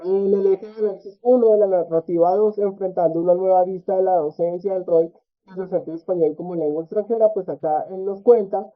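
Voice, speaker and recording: A man giving an academic research presentation at a conference, halting often with filler sounds and tag questions. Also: high voice. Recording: tinny phone-like audio.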